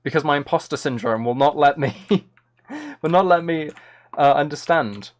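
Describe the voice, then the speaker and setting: Young male streamer, rambling and self-deprecating, with a bouncy, animated delivery. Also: a little high, normal speed. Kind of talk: casual live-stream chat while gaming.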